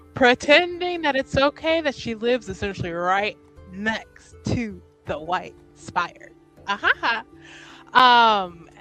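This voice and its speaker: A woman with a fairly high voice takes her time as she talks. She is casual and joking, a tabletop roleplayer on a stream, with soft music underneath. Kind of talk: casual, joking character introduction.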